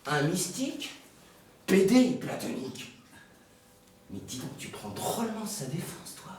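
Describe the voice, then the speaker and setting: An actor playing a crude, excitable young joker with a teasing, slangy, needling manner. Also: male voice, high voice, unhurried. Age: young adult. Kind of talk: naturalistic dramatic dialogue. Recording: very echoey, noisy, distant mic.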